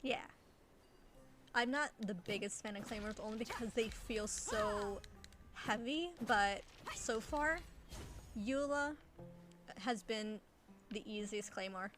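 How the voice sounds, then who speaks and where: A woman chatting casually while streaming a game, giggly and halting as she restarts her thoughts. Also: medium pitch, over music. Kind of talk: casual chat while playing a video game. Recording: faint background noise.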